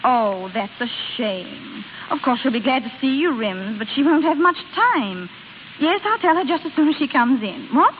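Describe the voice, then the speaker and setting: A meddling married woman from an old radio play. She gushes insincerely and bosses in a fairly high voice. The recording is tinny, with faint hiss. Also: very animated, moderate pace. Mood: falsely sweet. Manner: gushing, insincere, bossy. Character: Florrie, meddling married older sister, insistent, gossipy. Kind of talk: 1930s-40s radio drama acting.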